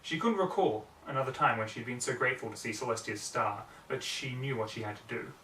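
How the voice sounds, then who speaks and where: A man's voice: medium pitch, a little fast, sharp tone. A man reading scripted fiction aloud as an amateur audiobook narrator. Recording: roomy, distant mic, faint background noise.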